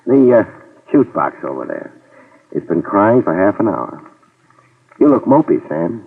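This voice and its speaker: Casting: a dry, wisecracking private eye, low voice, lively delivery, tinny radio sound with music. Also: male voice, measured pace, vocal fry, breathy edge, recorded from a distance, exaggerated voice. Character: wisecracking male New York private eye. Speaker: narrator and lead detective. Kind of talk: 1950s radio drama acting.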